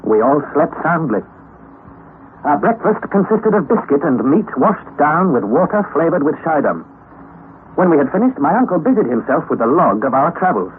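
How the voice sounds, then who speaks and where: An actor playing an earnest young nephew who recounts a perilous expedition in measured, theatrical old radio-drama style. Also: male voice, on the high side, sing-song, phone-quality sound. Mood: measured narrating. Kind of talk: dramatic first-person narration, old-time radio acting. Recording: thin, band-limited vintage radio recording, noisy.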